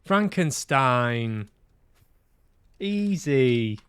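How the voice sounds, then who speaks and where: A man's voice: mid-range voice, very animated, extremely slow, a bit bright, clear, resonant tone, dry recording. A man chatting casually as he hosts a livestream, hesitant and musing aloud to himself. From Manchester, UK. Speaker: livestream host. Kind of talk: casual livestream chat while playing games.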